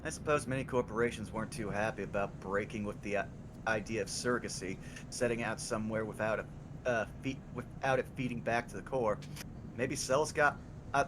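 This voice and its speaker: A man's voice: medium pitch, noisy background, close to an everyday voice. A man voicing a tired, hopeful young father who works the docks, talking earnestly and stumbling over his words. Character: weary hopeful young father, dock worker. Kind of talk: voicing a game character in a live read-along. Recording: music playing under the voice.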